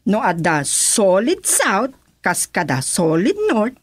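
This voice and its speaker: A woman's voice: medium pitch, big pitch swings, slow pace, husky, no room sound, clean studio sound, full character voice. An actress playing a woman who sweet-talks a village chairman in a sing-song, coaxing way in a radio drama. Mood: flattering. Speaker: supporter flattering the chairman.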